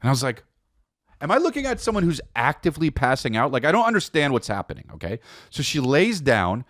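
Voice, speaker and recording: Man, American accent, comedic podcast monologue, swooping pitch, rambling and repetitive, casual, close dry recording. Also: fast talker, no echo. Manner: animated, rambling, repeats phrases for emphasis, swears freely. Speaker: podcast host telling personal stories.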